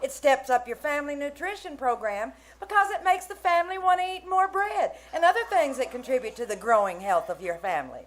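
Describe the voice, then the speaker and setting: A woman's voice: high voice, fairly quick, hardly any pauses. A woman announcer giving an earnest, scripted sales read in the style of a 1940s radio commercial.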